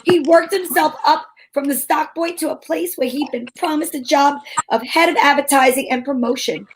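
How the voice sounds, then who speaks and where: A high-pitched, wry female detective played by a woman tells her case in old-time radio style. She is brisk and bossy, and there is light noise and clicking on the recording. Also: lightly acted, rough recording. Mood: narrating, wry. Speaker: narrator and lead actor.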